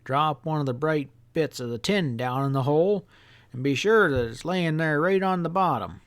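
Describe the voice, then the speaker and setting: Man doing a folksy old grandpa, higher-pitched and swooping. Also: expressive, natural voice. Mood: earnest, instructive. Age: older. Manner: folksy, drawn-out, emphatic explaining. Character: folksy old grandfather, mischievous, earnest country storekeeper.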